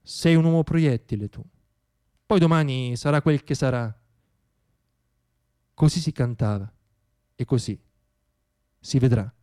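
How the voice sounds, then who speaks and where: A man's voice: mid-range voice, measured pace, long pauses, warm tone. A man performing a theatrical narrative monologue, with a dramatic, rhythmic storyteller's delivery.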